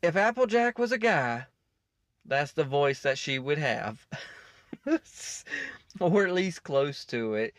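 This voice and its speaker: A man's voice: on the high side, expressive, takes it slowly, pauses often, dry recording. A man giving casual, off-the-cuff reaction commentary in a rambling, self-interrupting way. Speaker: reaction video host.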